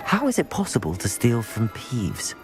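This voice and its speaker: An actor playing a polite, determined young wizarding student in a video game, earnest in manner. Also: male voice, mid-range voice, huge pitch swings, moderate pace. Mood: curious. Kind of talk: video game character acting. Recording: music behind the voice.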